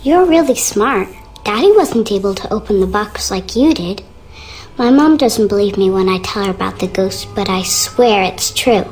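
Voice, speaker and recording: A woman's voice: mid-range voice, expressive, normal speed, a little mellow, faint music behind, close to an everyday voice, low-quality recording. A woman voicing a frightened, earnest young girl in a video game, childlike and confiding. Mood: timid, confiding. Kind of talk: video game character dialogue.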